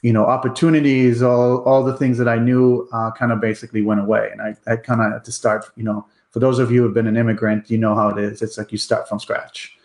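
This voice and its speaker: A middle-aged man with an Israeli accent, speaking at an ordinary pitch for a man. He tells his story as a guest in a relaxed, halting way, filling pauses with ums and uhs. His voice has a roomy echo around it. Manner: relaxed, many ums and uhs, pace varies. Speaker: guest, company president. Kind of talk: casual interview.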